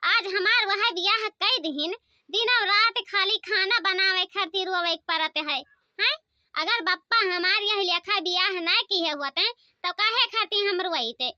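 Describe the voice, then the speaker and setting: A woman's voice: very high voice, unhurried, bright, forceful tone, dry recording, highs cut off, heavily acted. A woman voicing an aggrieved young village mother and daughter-in-law in a comic cartoon, complaining and exclaiming in an Awadhi accent. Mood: aggrieved grumbling.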